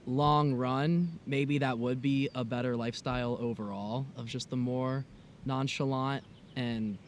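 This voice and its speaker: A man's voice: medium pitch, unhurried. A young man with a mid-range voice chats casually, acting out his own past thoughts with lots of "like." A faint hiss of outdoor noise sits under him. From United States. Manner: animated storytelling, lots of "like" and "I was like". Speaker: podcast co-host. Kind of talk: casual conversation. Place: outdoors in the mountains.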